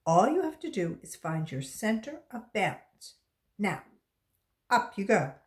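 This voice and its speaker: Woman reading as a firm, animated schoolteacher. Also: medium pitch. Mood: insistent. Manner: brisk, insistent.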